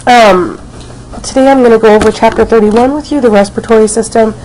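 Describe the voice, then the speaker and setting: A woman's voice: on the high side, fairly even intonation, brisk, darkish tone, a little hiss or hum, rough recording. Snuffly woman teacher with a cold. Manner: many ums, chatty teacher tone. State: has a cold, snuffly and coughing. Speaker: biology teacher. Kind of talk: recorded lecture, casual. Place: at home, not at school.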